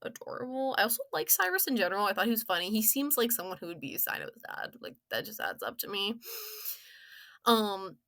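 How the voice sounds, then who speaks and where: A woman's voice: medium pitch, very animated, brisk, fairly bright tone, dry recording, studio quality. A young woman giving casual, rambling review commentary in a giggly, playful, slangy way. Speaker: video game story reviewer.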